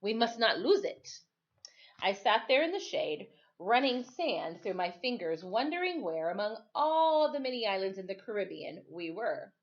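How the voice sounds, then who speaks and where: Expressive woman reading aloud, very lively pitch. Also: normal speed, hardly any pauses, muffled recording. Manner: expressive, informal asides. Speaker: storytime reader, teacher. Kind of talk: read-aloud children's storytelling.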